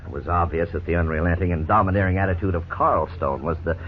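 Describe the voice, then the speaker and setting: A middle-aged man playing a lawyer who narrates and explains the case in a measured, formal way, in 1950s radio drama style. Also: sits low, natural voice. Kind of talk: scripted narration. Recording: scratchy 1950s radio broadcast, tinny, faint hiss.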